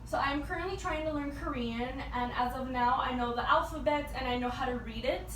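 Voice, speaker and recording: A young woman giving a prepared persuasive speech as a student, in a halting, informal way with repeated words. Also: sits high, a bit bright. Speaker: student speaker. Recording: echoey, distant mic with background noise.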